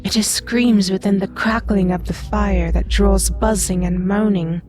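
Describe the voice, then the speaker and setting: Woman playing slow, softly menacing blindfolded seeress. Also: medium pitch, fairly even intonation, close to an everyday voice. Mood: somber menace. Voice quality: soft, delicate. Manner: slow, assertive, portentous. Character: hooded blindfolded seeress, soft, delicate, subtly menacing. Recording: music under the voice.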